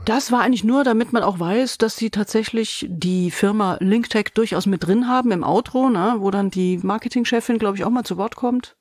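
A woman's voice: medium pitch, brisk, few pauses, a little mellow, no room sound, studio quality. A woman co-hosting a podcast, chatting casually in colloquial, slangy language. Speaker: podcast co-host and reviewer. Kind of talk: casual chat.

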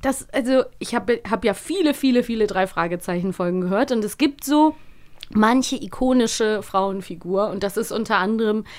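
A woman's voice: medium pitch, moderate pace, a little mellow. A woman hosting a casual studio interview, talking in an enthusiastic, effusive and wordy way. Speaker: podcast interviewer, host.

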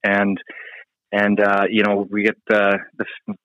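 A man giving casual, halting interview answers as a hockey player. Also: on the low side, completely flat delivery, a bit bright, no echo, lo-fi sound. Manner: hesitant, halting, frequent you-knows. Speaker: professional ice hockey player being interviewed.

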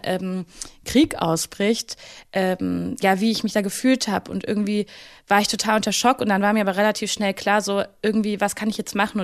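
A woman co-hosting a podcast, talking casually and rambling with many fillers. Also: medium pitch. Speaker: podcast co-host. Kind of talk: podcast chat.

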